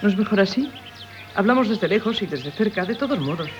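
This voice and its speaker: An actress with a Castilian accent playing a restless, dreamy, frank doctor's wife who loves the sea, in a 1970s Spanish radio drama. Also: female voice, medium pitch, expressive, fairly quick, soft background music, lightly acted. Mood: wistful, confiding. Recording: muffled 1970s radio broadcast.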